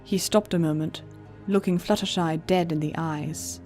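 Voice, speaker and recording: A woman's voice: fairly low pitch, steady tone, moderate pace, a little mellow, soft background music. A woman narrating a scripted story as the narrator of an audio drama.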